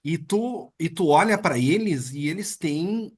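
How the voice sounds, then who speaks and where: A man hosts an interview at an easy, conversational pace. His voice is slightly husky, and his sentences lean on frequent tag questions. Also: on the high side, a little slow. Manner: relaxed, chatty, with many filler "né"s. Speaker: interviewer and host.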